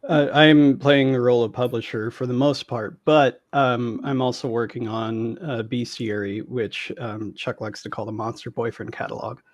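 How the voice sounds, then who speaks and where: A man in his thirties or forties, a game publisher, explaining things casually and haltingly as an interview guest. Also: medium pitch, fairly even intonation, no echo.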